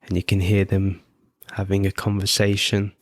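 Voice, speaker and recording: A man leading a guided meditation as host, giving gentle, unhurried instructions with frequent pauses. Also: low-pitched, monotone, no echo. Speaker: podcast host guiding meditation.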